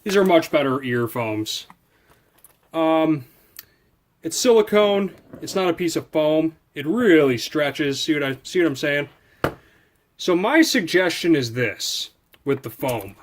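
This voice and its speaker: A man's voice: fairly high pitch, lively intonation, takes it slowly, a little hiss or hum. A man doing a casual, unscripted product review, rambling informally and thinking aloud as he handles things. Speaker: product reviewer hosting a video channel. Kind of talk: casual unscripted unboxing chat.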